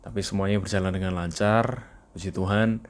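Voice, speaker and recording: A young man from East Java talks through his year in a relaxed, chatty video journal. His East Javanese accent colors a fairly low voice, and English phrases keep slipping into his casual talk. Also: fairly quick. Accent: East Javanese Indonesian. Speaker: vlogger.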